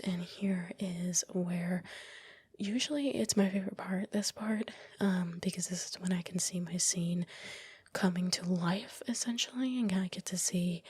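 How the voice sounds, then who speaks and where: A woman whispering softly in a casual, reflective ASMR commentary, close to the microphone with a breathy voice. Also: fairly low pitch. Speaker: ASMR creator narrating own project. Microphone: close, dry microphone.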